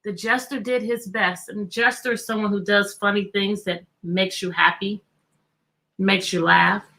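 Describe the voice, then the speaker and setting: A woman's voice: mid-range voice, fairly even intonation, normal speed, creaky, bright, forceful tone. A woman teacher hosting an interactive children's read-aloud, telling the story expressively and putting repeated questions to her listeners.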